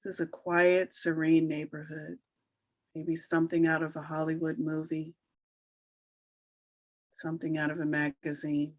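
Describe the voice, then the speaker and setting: A woman with a low voice guides a meditation in a soothing, unhurried manner. She leaves long pauses between her gentle instructions. The sound is dry, with very little room around the voice. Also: monotone, dry recording. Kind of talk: slow guided meditation narration.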